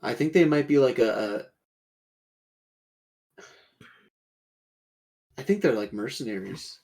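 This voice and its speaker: A man's voice: medium pitch, lively intonation, slow, vocal fry, a little mellow. A man co-hosting a podcast in casual, laid-back chat, giving short offhand remarks. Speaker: podcast co-host.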